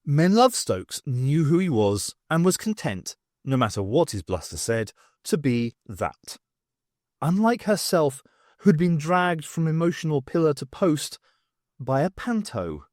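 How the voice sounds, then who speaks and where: A man reading a scripted story as an audiobook narrator, with expressive delivery. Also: fairly high pitch, unhurried, dry recording, clean studio sound.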